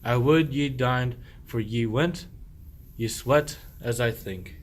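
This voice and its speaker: A man acts a sly sheep thief in an old verse radio play. He speaks slowly, in archaic rhyme, putting on the airs of an innocent, hospitable husband. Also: mid-range voice, close to an everyday voice. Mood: falsely hospitable.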